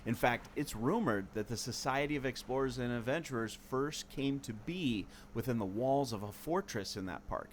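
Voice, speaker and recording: A man's voice: mid-range voice, moderate pace, hardly any pauses, lightly acted. A man acting an earnest, theatrical explorer, noisy backdrop. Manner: expository, theatrical. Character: earnest male explorer, knowledgeable enthusiast of secret lore. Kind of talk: scripted audio adventure acting.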